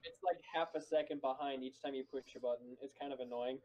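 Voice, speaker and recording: A man's voice: mid-range voice, fairly even intonation, fast, a little mellow, rough recording. A man chatting casually as a co-commentator in a hobby discussion. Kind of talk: casual chat.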